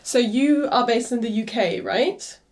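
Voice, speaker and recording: A woman's voice: fairly high pitch, a little slow, darkish tone. A woman podcast host from Germany, asking frank, direct questions in a casual chat.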